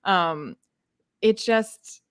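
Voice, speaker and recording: A woman in a casual, opinionated book discussion, talking in an animated, exasperated, sarcastic way and acting out imagined quotes. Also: medium pitch, extremely slow, smooth. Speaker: book readalong live chat host.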